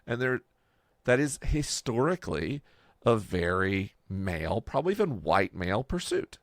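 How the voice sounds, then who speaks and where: A man's voice: fairly low pitch, very animated, normal speed, no room sound, clean studio sound. A man talking casually and conversationally as a podcast host, explaining his project. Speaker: podcast host and game creator.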